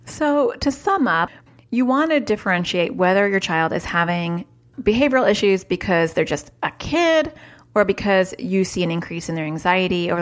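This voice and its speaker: A woman hosting a solo podcast, talking in a chatty, upbeat way with lively jumps in pitch. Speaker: podcast host, parent.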